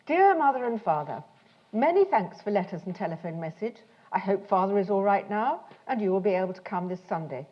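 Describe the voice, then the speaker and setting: A woman reading an old family letter aloud. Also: mid-range voice. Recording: dull, muffled talk recording.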